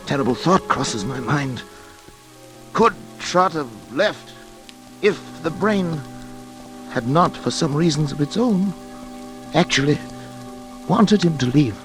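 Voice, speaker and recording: An actor playing a tormented middle-aged scientist, brooding and dramatic with hesitant pauses, in 1940s radio style. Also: male voice, a little high, expressive, fairly bright tone, rough recording. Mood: brooding dread. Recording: old radio recording, dramatic music behind.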